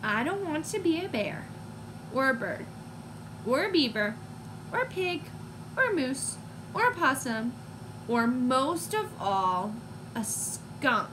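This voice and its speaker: A woman voicing a discontented young male storybook bunny, listing things with emphatic, drawn-out words. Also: sits high, sing-song, noisy background, full character voice. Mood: fed up.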